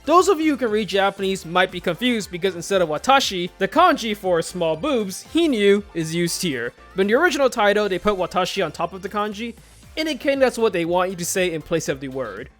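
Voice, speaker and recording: Casual jokey countdown host, pitch bouncing all over, music playing behind. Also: sing-song, a little fast, a bit bright. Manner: casual, joking. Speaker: video host. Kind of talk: scripted countdown commentary.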